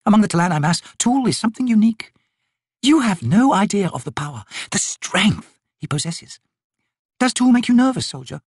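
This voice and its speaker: Grand, flirtatious fantasy sorceress as a male narrator plays her, imperious and lilting, high, very animated delivery. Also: moderate pace, slightly rough, no room sound, clean studio sound, full character voice. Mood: intrigued, pressing. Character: haughty, teasing noble sorceress, female, flirtatious and grand.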